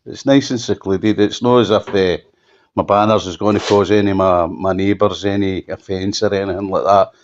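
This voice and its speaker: A Scottish man giving an unscripted telephone interview, halting and often repeating himself for emphasis. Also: low voice, fairly even intonation, a little hiss or hum. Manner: halting, repetitive, emphatic restatements. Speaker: campaigner being interviewed. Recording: dull lo-fi phone call.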